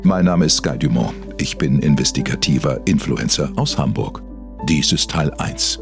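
A man's voice: a bass voice, normal speed, gravelly, music in the background. A man playing a self-serious investigative influencer, narrating a satirical true-crime podcast in a solemn, dramatic way. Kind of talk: scripted documentary narration, satirical.

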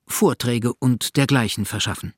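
A man reads historical quotations from a script. His voice is medium in pitch and recorded close and dry, with no room around it. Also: moderate pace, dry recording.

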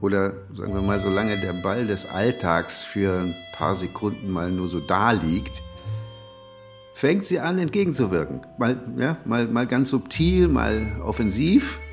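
An actor in a German radio play, playing an anxious, jealous man of 59 who speaks haltingly and with wry self-irony. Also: lowish voice, expressive, faint music behind. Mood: hesitant, confiding. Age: 50s. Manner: hesitant, stammering, self-ironic.

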